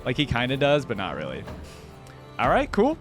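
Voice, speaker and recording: A man's voice: mid-range voice, wildly swooping pitch, moderate pace, over music. A man hosting a reaction video with casual, chatty commentary.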